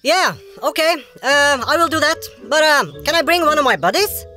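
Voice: high-pitched, lively intonation, moderate pace, pushed and bright, soft background music. An actor playing a cheerful, polite young fairy-tale lad in a cartoon, earnest and a little hesitant. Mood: eager, polite. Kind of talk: animated fairy-tale character dialogue.

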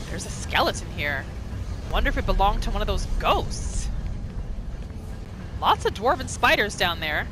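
A woman giving excitable playthrough commentary, exclaiming and talking to the game's characters as she roleplays. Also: medium pitch, normal speed, long pauses, noisy background. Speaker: gaming video host. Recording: game music behind the voice. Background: video game music and sounds.

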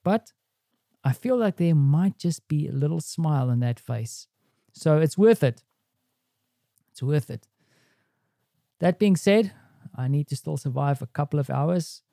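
A man with a soft, mellow voice pitched a little high talks through his day like an audio diary. He is conversational and reflective, as a podcast host speaking casually to his listeners. The sound is dry and close. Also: animated, unhurried, gravelly, long pauses, no echo.

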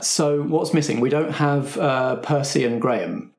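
A man's voice: medium pitch, unhurried, creaky, darkish tone. A man speaking as the host and game master of a tabletop role-playing podcast.

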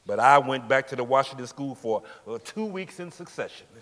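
A reverberant theatre stage carries a man playing a middle-aged working man giving court testimony. He is steadfast and formally polite, answering deliberately with small hesitations, at an ordinary male pitch. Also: expressive, fairly bright tone, lightly acted. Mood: aggrieved, recounting. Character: steadfast forty-nine-year-old working man testifying as witness. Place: large indoor theatre stage. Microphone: lapel mic.

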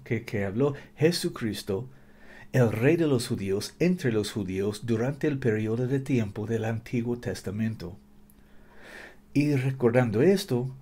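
Man preaching, second-language speaker, mellow husky voice, webcam in living room. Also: mid-range voice. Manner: earnest, halting, with frequent repeats and self-corrections. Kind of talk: bible teaching sermon to webcam. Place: living room with guitars on the wall. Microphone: webcam at desk.

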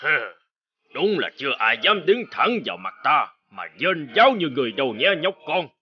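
A man's voice: on the high side, sing-song, moderate pace, dull audio. A man voicing a huge, menacing and boastful forest giant in a fairy-tale cartoon, scornful and threatening. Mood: mocking. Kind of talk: animated fairy-tale acting.

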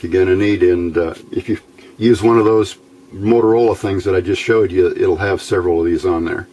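Low-voiced American man, halting delivery. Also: steady tone, dark tone. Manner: halting, thinking aloud. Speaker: hobbyist radio builder presenting a how-to video. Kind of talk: casual unscripted demonstration. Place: small indoor workbench. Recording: noticeable background noise.

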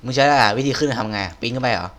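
A man's voice: medium pitch, racing, a bit bright. A man giving casual, slangy, exclamatory gameplay commentary, often talking to himself as he plays. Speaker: gaming video host playing through a game.

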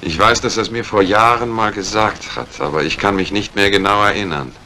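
A man's voice: fairly low pitch, brisk, sharp tone. An actor playing a businesslike, talkative man in a retro radio crime drama. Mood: pondering. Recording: noisy old radio recording.

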